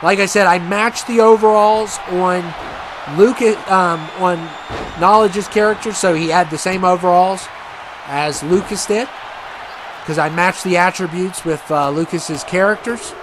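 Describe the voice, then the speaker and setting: A man casually commentating a wrestling video game match, rambling and chatty with many fillers, and he says he has a loud voice. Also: high-pitched, unhurried, noisy background. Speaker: gaming commentator.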